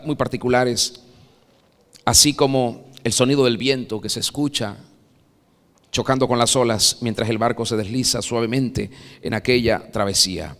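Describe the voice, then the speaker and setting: A Venezuelan man preaching a sermon in a church, building to loud dramatic peaks and then dropping soft. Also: mid-range voice, clean tone. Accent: Venezuelan Spanish. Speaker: pastor. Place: church sanctuary. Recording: long reverb of a church hall.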